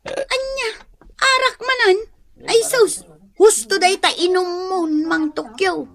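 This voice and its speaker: A woman's voice: extremely high pitch, lively intonation, takes it slowly, fairly bright tone, full character voice. A woman playing a scolding but kind-hearted village shopkeeper in a radio drama, with a Filipino accent and a chiding, exclamatory manner. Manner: chiding, exclamatory, motherly advice-giving. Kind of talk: Ilocano radio drama acting.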